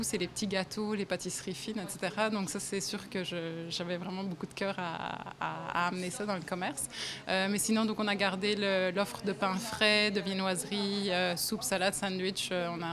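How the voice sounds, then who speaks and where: A young woman from Belgium, a café owner, talking casually in an interview with frequent hesitations and small laughs. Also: medium pitch. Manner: hesitant, many fillers, laughs often. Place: café, indoors.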